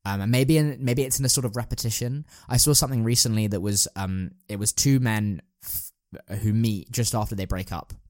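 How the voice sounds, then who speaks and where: A man with a fairly low voice chats casually as a podcast co-host. He works through his thoughts out loud, backtracking and rephrasing as he goes. The sound is very dry, with no room to it. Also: brisk, no room sound. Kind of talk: casual chat about staging a radio play.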